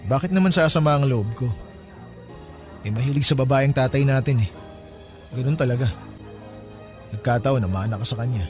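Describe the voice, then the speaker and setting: A man's voice: medium pitch, moderate pace, pauses often, a little mellow, natural voice. Reserved, hurt younger brother acted by a man. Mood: reproachful. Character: hurt, reserved, upright younger half-brother in Filipino radio drama. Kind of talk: Tagalog radio drama dialogue, conversational. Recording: faint music behind the voice.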